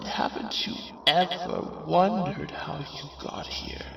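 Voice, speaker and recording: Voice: medium pitch, takes it slowly, gravelly. A performer voicing a sinister shadow pony mother with sweetly menacing, coaxing, dramatic delivery in a fan comic dub. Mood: coaxing menace. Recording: echoey, distant, faint noise.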